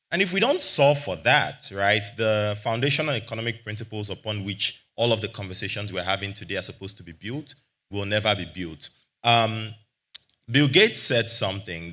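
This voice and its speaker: A young man, arguing confidently and emphatically as a panelist, speaking into a handheld microphone with a loud voice. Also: on the low side. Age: young adult. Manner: confident, emphatic, argumentative, says he has a loud voice. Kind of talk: panel discussion remarks.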